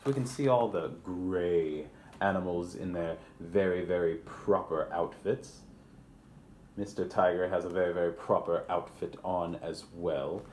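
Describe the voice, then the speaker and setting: A man's voice: sits low, steady tone, unhurried, darkish tone, a little hiss or hum. A man hosting a children's picture book read-aloud, speaking with exaggerated, theatrical politeness and chatty asides. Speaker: library storyteller and host.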